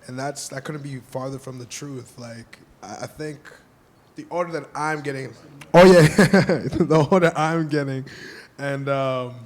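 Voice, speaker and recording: A man's voice: mid-range voice, steady tone, unhurried. A tired young man talking earnestly and encouragingly on a panel podcast. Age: young adult. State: tired from lack of sleep. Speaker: podcast guest. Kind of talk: casual panel discussion.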